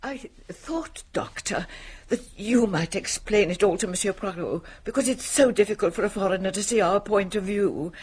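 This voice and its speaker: An actress playing an aggrieved, snobbish English lady in a radio drama, husky, plaintive and full of self-justification. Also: medium pitch, moderate pace, a little mellow, close to an everyday voice.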